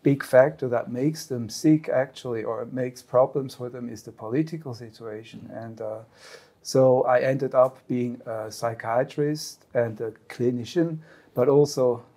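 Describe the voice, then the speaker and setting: A middle-aged man, a psychiatrist, speaks as a panel guest in a warm, mellow voice. He sounds earnest and reflective, and his voice often drops away at the ends of phrases. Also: medium pitch, unhurried. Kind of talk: panel discussion answer.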